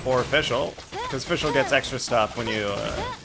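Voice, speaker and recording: A man's voice: medium pitch, measured pace, creaky, loud music behind the voice. A man livestreaming a video game, chatting casually and rambling as he thinks aloud. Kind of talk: casual live gaming chat and commentary.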